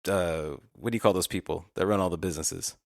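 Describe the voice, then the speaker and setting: A man's voice: low-pitched, quick pace, vocal fry, no echo, clean studio sound. A man, a podcast host giving a casual, rambling recap.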